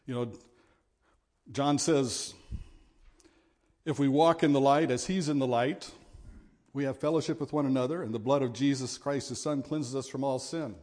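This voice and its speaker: A middle-aged man with a big voice preaching a sermon, earnest and at times forceful in his exhortations. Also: mid-range voice, moderate pace, pauses often.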